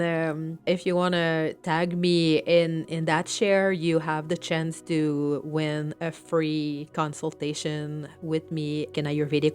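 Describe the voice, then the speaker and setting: A woman host opening her podcast episode in a relaxed, chatty way, with fillers. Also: low voice. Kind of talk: podcast intro. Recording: faint music underneath.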